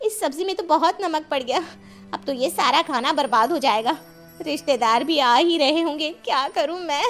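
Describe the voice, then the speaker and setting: A woman's voice: high voice, normal speed, a bit bright, faint music behind. A woman playing a bossy, sarcastic middle-aged city housewife in a cartoon family story, with a nagging, dismissive way of talking. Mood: panicked. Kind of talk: animated moral-story dialogue.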